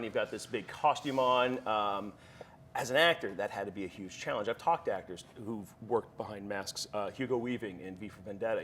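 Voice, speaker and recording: A man's voice: fairly low pitch, fairly bright tone, slight background noise. A man interviewing an actor, asking long questions with stumbles and repeats. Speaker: entertainment interviewer.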